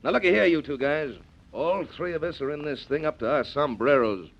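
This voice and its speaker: An actor playing a hot-tempered cowboy airline partner with a drawl, in 1940s radio acting style. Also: male voice, a little high, fairly quick. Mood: scheming. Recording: dull lo-fi old radio recording.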